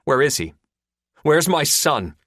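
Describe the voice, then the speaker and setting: A man playing an enraged captive male agent, yelling, higher pitched, very loud, lively. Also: slow, dry recording, studio quality, full character voice. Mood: demanding. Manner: shouting, threatening.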